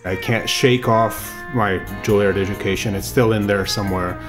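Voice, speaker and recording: A man talking casually in an interview about his band, relaxed and chatty with fillers. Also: on the low side, normal speed. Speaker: bandleader and composer. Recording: voice with loud music behind.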